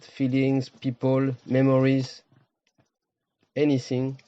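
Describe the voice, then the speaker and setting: Low-voiced man guiding a meditation. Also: warm tone, no room sound, muffled recording. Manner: slow, pausing, short phrases. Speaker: meditation teacher. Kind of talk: guided meditation.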